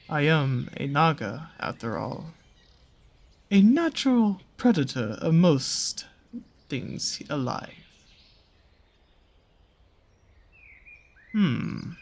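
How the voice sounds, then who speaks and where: An actor performs a seductive serpent-creature from a fantasy roleplay audio. The delivery is extremely slow, with drawn-out pauses and lively, swooping intonation. The predator coaxes and teases, showing a soft spot for small, pretty prey. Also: medium pitch, full character voice. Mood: calm, ominous. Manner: slow, teasing, coaxing, with pet names and drawn-out pauses. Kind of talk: scripted roleplay audio drama addressed to the listener.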